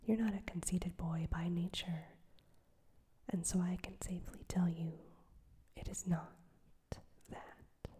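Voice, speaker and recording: A woman voicing a proud, gentle young woman who refuses a suitor, in a soft whisper. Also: on the low side, level tone, takes it slowly, breathy edge, full character voice. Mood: firm, wistful. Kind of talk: whispered audiobook reading.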